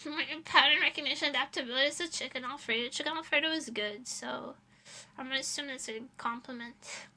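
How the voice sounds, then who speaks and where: A woman's voice: sits high, fast talker, pushed and bright. A young woman streamer chatting casually and telling rambling, informal, giggly anecdotes to her viewers. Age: young adult. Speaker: VTuber streamer. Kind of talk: casual livestream chat and storytelling.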